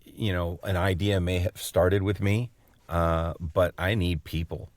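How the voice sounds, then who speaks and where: A man co-hosting a podcast in a casual, thoughtful style, feeling out his phrases in short halting pieces. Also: deep voice, fairly even intonation, dry recording, studio quality. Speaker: podcast co-host, interviewer. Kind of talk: casual chat.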